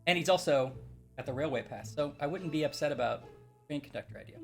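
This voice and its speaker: A man's voice: a little high, expressive, fast talker, gravelly, fairly bright tone, faint music behind. A young man casually playing a mystery game, thinking aloud and hesitantly reasoning through clues. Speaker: game streamer. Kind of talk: casual let's-play chat.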